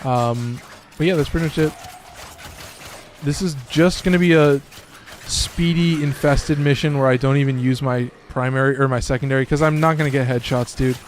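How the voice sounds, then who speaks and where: Slightly hoarse man streaming a game. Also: medium pitch, fairly even intonation, normal speed, a little hiss or hum. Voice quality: slightly raspy. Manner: loose, profane, chatty. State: a little hoarse. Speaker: gaming streamer. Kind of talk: casual gameplay commentary.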